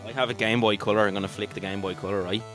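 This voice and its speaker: A man's voice: on the low side, steady tone, very fast. A man co-hosting a casual gaming podcast, swearing often and easily amazed. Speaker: podcast co-host. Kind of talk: casual banter in a game playthrough. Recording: music faintly behind the voice.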